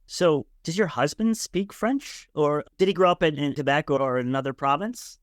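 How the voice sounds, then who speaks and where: A man's voice: on the high side, normal speed, no echo, studio quality. A man hosting an interview podcast in a conversational, thoughtful and supportive way. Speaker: podcast host.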